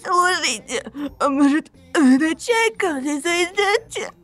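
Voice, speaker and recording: A woman's voice: very high-pitched, animated, takes it slowly, fairly bright tone, full character voice. A woman voicing a mopey emo boy who passes as a girl. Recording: faint background music.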